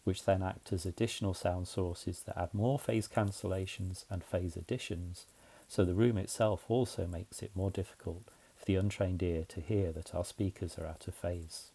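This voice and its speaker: A man's voice: low-pitched, steady tone, normal speed, creaky, dark tone, husky. A man narrating a scripted explainer in a methodical, plain-spoken way, as a tutorial presenter.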